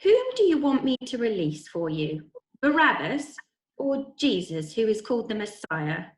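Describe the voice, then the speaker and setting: A questioning Roman governor read by a woman in an amateur Bible drama. The voice is high and lively in pitch, with a roomy echo around it. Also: a little slow. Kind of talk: dramatic scripture reading. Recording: roomy, echoing video meeting audio.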